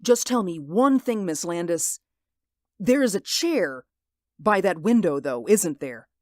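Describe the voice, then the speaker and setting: An actor in a 1940s radio drama playing a haunted, sleepless man who pleads in an intense, hushed voice. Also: high voice, lively intonation, unhurried, no room sound, studio quality. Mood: pleading.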